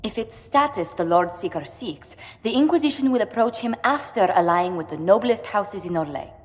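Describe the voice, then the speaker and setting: A woman's voice: medium pitch, animated, moderate pace, lightly acted. Woman playing a poised ambassador in echoing hall. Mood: assured. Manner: polished, businesslike. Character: poised, diplomatic female ambassador. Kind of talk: dramatic video game dialogue.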